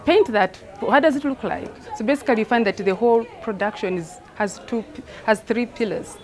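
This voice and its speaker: A woman's voice: on the high side, a little fast, some chatter behind. Woman, emphatic interview talk. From Rwanda. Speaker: theatre artistic director. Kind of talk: interview, reflective explanation.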